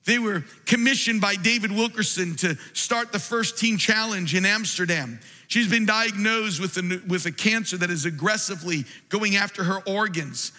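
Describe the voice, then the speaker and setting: A man preaching a sermon in a large church, impassioned and building to shouts. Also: sits high, moderate pace, hardly any pauses. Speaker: pastor. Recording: long reverb of a large hall.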